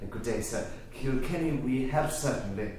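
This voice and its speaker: An actor playing a fussy, over-eager, courteous Quaker librarian in a literary audio drama, hesitant and repeating himself. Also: male voice, mid-range voice, moderate pace, darkish tone, roomy sound, slight background noise, natural voice. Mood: eagerly dutiful.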